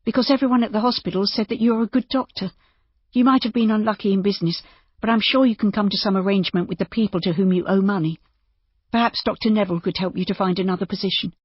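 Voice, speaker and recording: A narrator plays a sensible young woman who runs an inn, in an audiobook. The voice is lifted high, earnest and reassuring, with an anxious, kindly edge. The sound is close, dry and a little muffled. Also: fairly even intonation, fast talker, breathy edge, no echo, close to an everyday voice. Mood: gentle, practical. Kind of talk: audiobook character dialogue.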